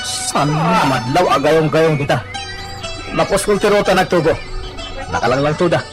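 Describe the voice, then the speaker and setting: A man's voice: on the high side, lively intonation, normal speed, close to an everyday voice. A man playing an anxious treasure hunter in an Ilocano audio drama. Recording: loud music over the voice.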